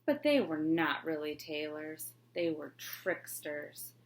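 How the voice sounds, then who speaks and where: A woman teacher reading a children's picture book aloud with a clear, expressive storybook delivery. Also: low-pitched, slow.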